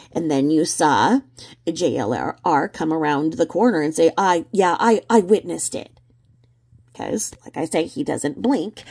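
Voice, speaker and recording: Low creaky-voiced woman livestreamer. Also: mellow. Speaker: livestream host commenting on video clips. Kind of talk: casual livestream commentary.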